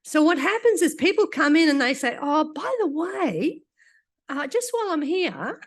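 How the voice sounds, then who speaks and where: A woman lecturing to a room of doctors, her voice slightly croaky from recent illness. She speaks in a fairly high register, relaxed and conversational, slipping in dry little asides as she walks through her slides. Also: normal speed. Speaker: doctor giving a conference talk. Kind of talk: conference lecture.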